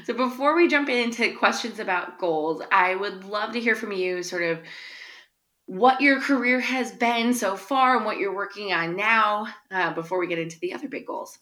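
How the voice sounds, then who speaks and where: A woman's voice: mid-range voice, normal speed, pushed and bright. A woman hosting a podcast, giving an upbeat, chatty introduction and interviewing a guest, with many small fillers. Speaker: podcast host. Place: my town.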